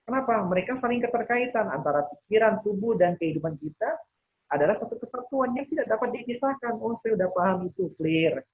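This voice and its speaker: A man's voice: sits high, expressive, fast talker. A man teaching interactively as a trainer, explaining, checking that listeners follow and voicing imagined thoughts as examples. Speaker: NLP trainer leading a webinar. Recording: thin phone-like webinar audio.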